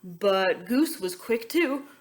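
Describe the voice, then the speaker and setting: A woman reading a children's story aloud in an expressive way, pausing with questions and asides for young listeners. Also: mid-range voice. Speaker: picture book reader. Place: indoors, book held up to camera.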